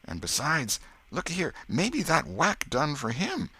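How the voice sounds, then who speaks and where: A man's voice: fairly high pitch, huge pitch swings, slow pace, bright, forceful tone, husky, voice pushed up, exaggerated voice. A man voicing an anxious, thoughtful young village boy in an audiobook, asking questions in urgent rustic speech. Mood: urgent, puzzled.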